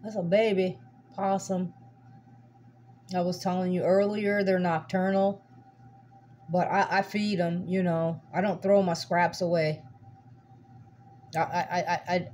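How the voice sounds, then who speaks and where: A woman's voice: lowish voice, level tone, a little slow, long pauses, a little mellow. A woman narrating a home video in casual, folksy, rambling chat, often repeating her words. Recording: humming noisy amateur recording.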